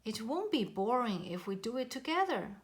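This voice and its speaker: Woman playing an earnest, high-pitched storybook animal friend. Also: very animated, moderate pace. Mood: firm, encouraging. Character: Ginny and Penny, earnest bossy animal friends. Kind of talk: children's storybook character voice.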